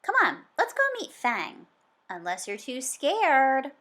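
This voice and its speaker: Woman voicing an anxious, boastful schoolboy, animated pitch. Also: slow, heavily acted. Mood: urgent. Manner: dramatic, emphatic delivery. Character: Sam Wu, anxious boastful schoolboy narrator, easily embarrassed.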